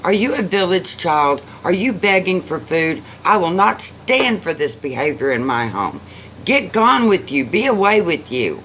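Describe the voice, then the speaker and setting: A man voicing a grumpy, irritable old man in a told story, scolding and exclaiming with drawn-out words. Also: sits high, sing-song, pushed and bright, slight background noise, pitched above the performer's own voice, exaggerated voice. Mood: stern. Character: grumpy irritable old man, shouting at callers at his door.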